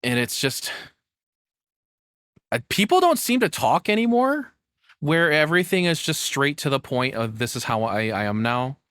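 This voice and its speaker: Male reaction streamer, lowish voice, dry close sound, candid and self-deprecating, thinking out loud casually. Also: no room sound. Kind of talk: casual rambling commentary.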